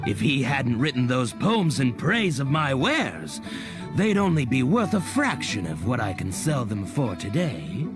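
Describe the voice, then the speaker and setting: An actor playing a humble, devout and generous merchant in a video game, earnest and deferential. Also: male voice, mid-range voice, sing-song, measured pace, over music. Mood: grateful, sincere.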